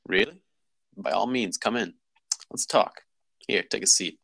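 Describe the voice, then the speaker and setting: Lecherous CEO, male table-read actor: creaky bottom-register voice, insinuating, dry recording. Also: on the low side, a little slow, fairly bright tone, dry recording, exaggerated voice. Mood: suspicious, inviting. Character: smooth, lecherous male CEO receiving a young woman. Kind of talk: amateur screenplay table read.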